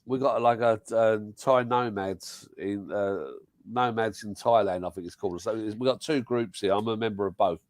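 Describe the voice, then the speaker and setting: A man's voice: lowish voice, moderate pace, no echo, clean studio sound. An older man from the UK hosting a casual live-stream chat, blunt and swearing freely, often restarting his sentences. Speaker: host.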